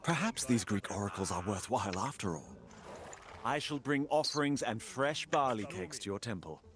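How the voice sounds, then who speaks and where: An actor playing a proud, impatient Persian satrap in a video game, speaking in a curt, commanding way. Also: male voice, on the low side, lively intonation, normal speed, music in the background, lightly acted. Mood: brisk command. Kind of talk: video game dramatic acting.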